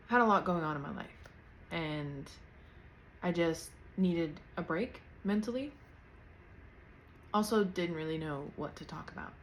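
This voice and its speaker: A woman's voice: lowish voice, a little hiss or hum, low-quality recording. A thirty-year-old woman hosting her own podcast at home, talking candidly and loosely without a script, laughing lightly now and then, picked up by her camera's built-in mic. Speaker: podcast host. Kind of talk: unscripted casual personal talk. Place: at home, in pajamas. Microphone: camera's built-in mic, external mic unplugged.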